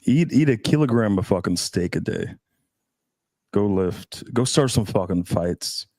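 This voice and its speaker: A man's voice: on the low side, expressive, unhurried, mellow, no echo, studio quality. A man hosting a casual podcast chat, brash and joking, pushing crude advice. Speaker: podcast host.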